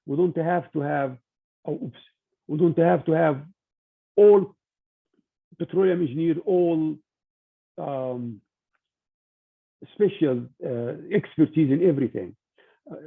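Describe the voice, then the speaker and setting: An older man from Egypt giving an informal talk to students, with halting speech and frequent restarts over a tinny video-call line. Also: on the high side, gravelly, breathy edge. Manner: halting, many restarts and fillers. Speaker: guest speaker.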